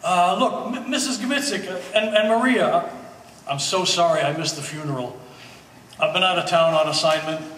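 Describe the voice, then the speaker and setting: A man playing an apologetic, friendly family acquaintance with a halting manner, in a staged play reading. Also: high-pitched, a bit bright, far from the mic. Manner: hesitant, halting. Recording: echoey hall, faint background noise.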